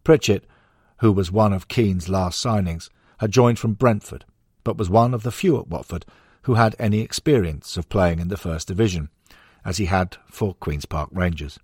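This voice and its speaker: A man's voice: low voice, moderate pace, a little mellow, breathy edge, dry recording, studio quality. A man narrating a non-fiction sports history book in a scripted audiobook reading.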